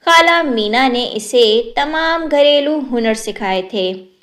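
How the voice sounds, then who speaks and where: A woman narrating a moral storybook tale in a measured, even storytelling manner. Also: on the high side.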